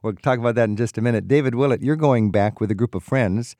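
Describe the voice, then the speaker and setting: A man's voice: mid-range voice, fast, darkish tone, dry recording, clean studio sound. A man hosting a radio travel call-in show, chatty and leading his guests with questions.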